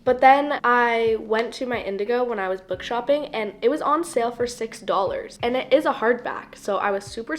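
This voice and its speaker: A young woman in her twenties, a little raspy from being sick, chatting in a bubbly, gushing way as she shows off a book haul. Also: a little high. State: raspy from recent illness. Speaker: book vlogger. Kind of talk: casual book haul vlog.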